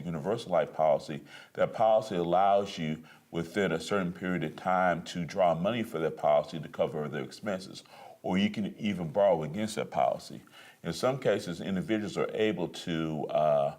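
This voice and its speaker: Man, very deep voice, guest, measured explainer. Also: a little mellow. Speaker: guest, financial advisor. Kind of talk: explaining to an interviewer.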